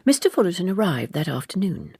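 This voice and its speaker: A woman reading literary narration as an audiobook narrator, measured and precise. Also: on the low side, very animated, vocal fry, warm tone, slightly rough, no echo, clean studio sound.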